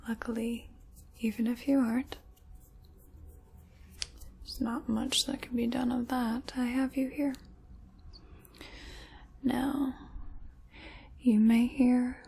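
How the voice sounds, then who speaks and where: A woman playing a sinister, chaos-loving dark witch in a whispered, theatrical ASMR roleplay, soft-spoken and close to the microphone. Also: on the high side, level tone, slow pace, creaky, long pauses, slightly rough. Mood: coolly menacing.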